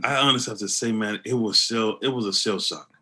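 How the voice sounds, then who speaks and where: A man with a deep voice, speaking earnestly as an interview guest, often restarting his sentences. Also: brisk, bright, forceful tone, slightly rough. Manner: earnest, with many restarts and fillers. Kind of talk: conversational interview.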